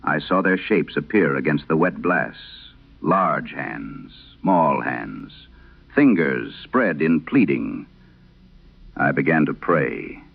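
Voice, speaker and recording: A man narrating a scripted horror tale in vintage radio style, solemn and measured, with dramatic pauses. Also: very deep voice, very animated, gravelly, husky. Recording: dull, band-limited vintage radio sound.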